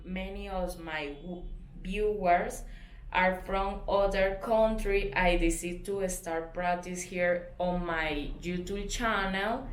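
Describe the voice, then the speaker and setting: Slow, careful Colombian woman, roomy echo. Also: medium pitch. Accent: Colombian Spanish. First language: Spanish. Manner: careful, hesitant non-native English with fillers and apologies. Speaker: sewing tutor. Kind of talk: sewing tutorial narration.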